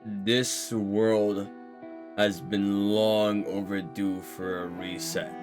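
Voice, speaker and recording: A man voicing a vengeful, megalomaniac reindeer villain in grave, slow, portentous speech. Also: fairly low pitch, flat delivery, darkish tone, faint music behind, pitched below the performer's own voice. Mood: grim, menacing.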